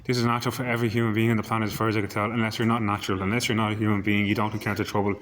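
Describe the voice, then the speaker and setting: A hoarse, husky Irish man rambles to camera at a quick clip. His low voice stays flat and even, and he stumbles over repeated words. A faint hiss runs behind him. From Ireland. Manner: stumbling, repeats words while searching for them. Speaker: vlogger.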